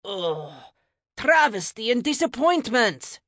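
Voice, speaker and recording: Woman voicing an excitable, eager young lad, very animated. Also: lowish voice, measured pace, no echo, clean studio sound. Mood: disappointed. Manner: excitable, exclamatory, bookish. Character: eager, earnest, sassy young male godson of a goddess.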